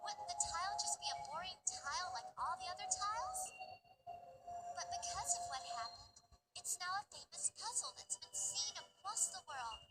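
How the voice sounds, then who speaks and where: A woman voicing a bright, patient fourteen-year-old little sister in a visual novel, explaining things in a gently philosophical way. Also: very high-pitched, lively intonation, moderate pace, low-quality recording. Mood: patient, thoughtful. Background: game music.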